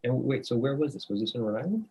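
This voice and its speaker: A male podcast host chatting casually, giving short, relaxed affirmations. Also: mid-range voice, fast, husky, rough recording. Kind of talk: casual interview chat.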